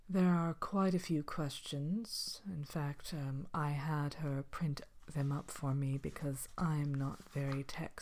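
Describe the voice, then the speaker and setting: A woman voicing a vain, haughty evil fairy-tale queen in soft, whispered ASMR, with a dry, condescending, hesitant manner. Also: low-pitched. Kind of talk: whispered ASMR character talk show.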